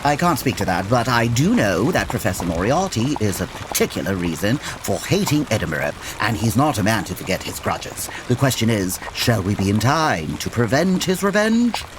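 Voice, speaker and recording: Victorian detective, proper and precise, very animated intonation, audio comedy, noisy rainy backdrop. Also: male voice, on the low side, normal speed, few pauses. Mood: measured. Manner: formal, precise, gently pompous. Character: composed, courteous Victorian gentleman detective. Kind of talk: comedy audio drama acting. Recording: noisy, rain-like hiss behind.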